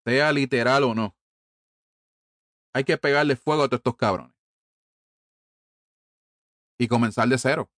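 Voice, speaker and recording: A man's voice: mid-range voice, measured pace, pauses often, a bit bright, no echo, studio quality. A middle-aged man from Puerto Rico with a Puerto Rican Spanish accent, delivering a heated, profane political monologue full of rhetorical questions.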